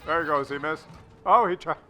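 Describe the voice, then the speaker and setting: Bright, high-voiced man, wry game commentator. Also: a little fast, soft background music. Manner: wry, sarcastic, teasing, crude joking. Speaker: gaming video host. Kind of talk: casual replay commentary on a tank game. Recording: faint game noise behind voice.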